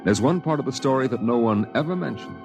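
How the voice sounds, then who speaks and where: An actor playing a reflective man recalling his boyhood, narrating an old-time radio drama in a grave, measured, dramatic way. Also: medium pitch, expressive, vocal fry, over music.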